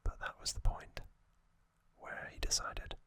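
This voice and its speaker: A man whispering trivia as an ASMR host into a close microphone, softly, with frequent ums and uhs. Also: measured pace, no room sound. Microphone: close sensitive mic.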